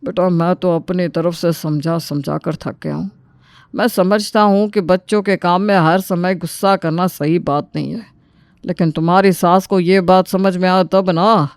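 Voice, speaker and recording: A man's voice: high voice, fairly even intonation, normal speed, smooth, no echo. A man playing an elderly, reasonable but exasperated father-in-law who admonishes and pleads for peace in a family story. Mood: weary, gentle.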